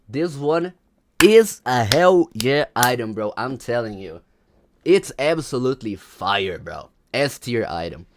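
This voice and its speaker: Animated young streamer, lively pitch. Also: male voice. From Brazil. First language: Portuguese. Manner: animated, slangy, chats with viewers. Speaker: livestream host. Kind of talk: casual livestream commentary.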